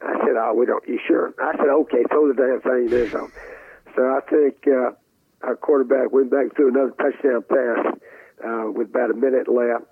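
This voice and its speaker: An older man, a football coach, telling anecdotes casually in a halting, folksy way. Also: mid-range voice, steady tone, husky. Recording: muffled phone line.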